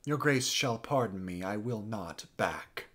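A man playing a proud, demanding highborn young French prince in a declamatory, insistent Shakespearean stage monologue. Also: expressive, a little slow, a bit bright. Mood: haughty, defiant.

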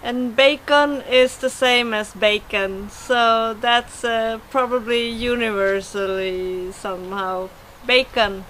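A middle-aged woman with a Swedish accent, giving an informal word lesson to camera outdoors, speaking haltingly and pronouncing carefully. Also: fairly high pitch, steady tone, a bit bright, slight background noise. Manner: slow, halting delivery with careful word-by-word pronunciation. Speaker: vlogger teaching Swedish words. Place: outdoors by a wooden fence in a garden.

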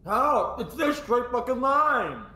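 A man's voice: extremely high pitch, very animated, takes it slowly, sharp tone, roomy sound, a little hiss or hum. A young man playing a horror game with friends, swearing and bossily directing the others. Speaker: co-op player. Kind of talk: casual co-op gameplay chat.

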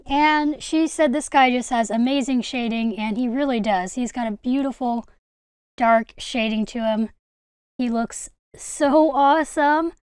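High, clear-voiced enthusiastic young woman. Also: a little slow, darkish tone, no room sound, studio quality. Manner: enthusiastic, gushing. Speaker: video host, hobby collector. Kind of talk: casual haul vlog narration.

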